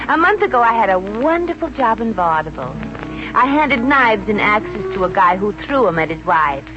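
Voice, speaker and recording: An American actress playing a wisecracking Brooklyn showgirl working as a stenographer in a 1950s radio comedy, with a wry, deadpan delivery. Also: mid-range voice, normal speed, natural voice. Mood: wry narration. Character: wisecracking Brooklyn showgirl turned office stenographer, brassy and unfazed. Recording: dull vintage radio recording with music.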